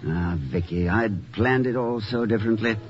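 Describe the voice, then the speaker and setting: An actor playing a doting, dreamy college president in a 1950s radio drama, with a flustered, stammering, genteel way of talking, on a muffled old recording. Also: male voice, lowish voice, normal speed, faint music behind, natural voice. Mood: tender, playful. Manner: flustered stammering, effusive, genteel turns of phrase.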